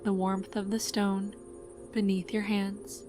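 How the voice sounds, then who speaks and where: Very slow, calm woman guiding sleep meditation. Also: mid-range voice, music in the background. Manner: calm, soothing, unhurried. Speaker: guided sleep meditation narrator. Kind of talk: scripted guided meditation narration.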